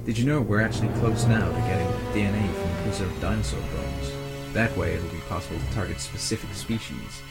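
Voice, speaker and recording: An actor playing an earnest male scientist in an audio drama, explaining things in a measured, polite way. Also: lowish voice, loud music behind the voice, lightly acted. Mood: measured, explanatory. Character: earnest male genetic scientist defending his dinosaur work.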